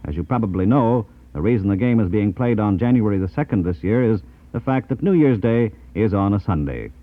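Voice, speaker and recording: An American man reading scripted news and sponsor messages in a formal, measured 1950s radio announcer style. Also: lowish voice, no room sound. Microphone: dry radio studio microphone. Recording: tinny, band-limited 1950s radio tape.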